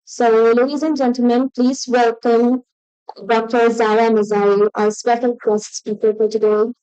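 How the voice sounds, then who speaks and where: A woman's voice: on the high side, little pitch movement, moderate pace, smooth, poor audio. Woman emcee announcing a guest. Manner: loud, announcing tone. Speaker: event emcee. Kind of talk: formal speaker introduction. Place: event stage.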